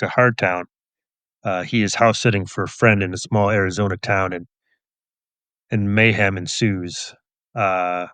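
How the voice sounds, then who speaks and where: Middle aged guy being interviewed, kinda low voice, wry and understated, very dry sound. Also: fairly bright tone, no room sound. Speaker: guest, crime novelist and police officer. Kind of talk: casual interview answers.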